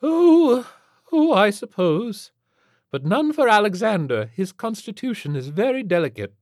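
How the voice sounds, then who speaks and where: A man's voice: high voice, sing-song, a little slow, a little mellow, no echo, clean studio sound, pitched above the performer's own voice. A man voicing a prim, anxious, overprotective married woman in a story, fluttery and genteel. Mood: flustered, reluctant.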